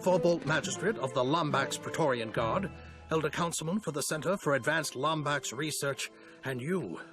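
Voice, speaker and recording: A man's voice: a little high, natural voice. A man playing a dignified old alien general. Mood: measured. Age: older. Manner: measured, formal, grandfatherly. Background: game score music.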